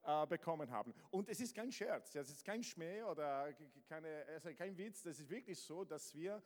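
A man's voice: fairly high pitch, expressive, moderate pace, a little mellow. A man from Southern Italy with an Italian accent, preaching to a congregation with energy, often stumbling and restarting. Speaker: guest pastor. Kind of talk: lively sermon to a congregation. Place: church hall with congregation. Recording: large hall with long reverb.

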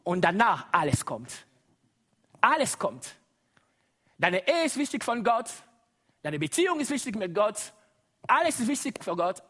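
A man's voice: sits high, fairly bright tone, slightly rough. A middle-aged man from Congo with a Congolese accent, whose first language is Lingala, preaching a sermon to a congregation, exclaiming and laughing often. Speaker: guest preacher.

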